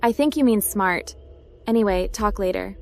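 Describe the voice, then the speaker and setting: A woman's voice: medium pitch, normal speed, creaky, a little mellow, soft background music, natural voice. A woman playing a polite, curious spacefaring adventurer in a video game. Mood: curious, friendly. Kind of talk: video game protagonist dialogue.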